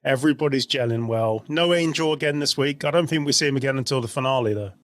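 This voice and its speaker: A British man talks in the informal, opinionated way of a podcast co-host. His voice sits at a middling pitch. The recording is dry, with very little room sound. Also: fast talker, no echo. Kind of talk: casual chat.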